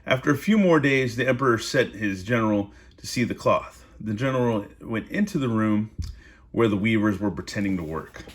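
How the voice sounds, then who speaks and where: A man's voice: fairly low pitch, normal speed. A man reading a story aloud in a casual, amused way, breaking off into informal asides.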